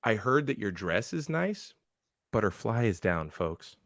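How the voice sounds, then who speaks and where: A man's voice: on the low side, very animated, measured pace, vocal fry, fairly bright tone, dry recording, studio quality. A man competing in a pun contest, teasing and playful, over a video call. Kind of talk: quick-fire pun banter.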